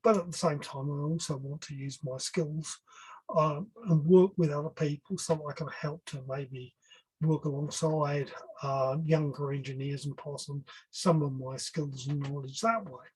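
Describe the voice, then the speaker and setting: An older man speaking informally as an engineer guest on a webinar, halting and often rephrasing himself. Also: a little high, steady tone, breathy edge, poor audio. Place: online video call.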